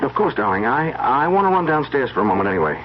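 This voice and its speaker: An actor playing an uneasy, suspicious young husband in an earnest, questioning old-time radio mystery style. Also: male voice, mid-range voice, sing-song, quick pace, lightly acted. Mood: hasty. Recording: dull, noisy old radio recording.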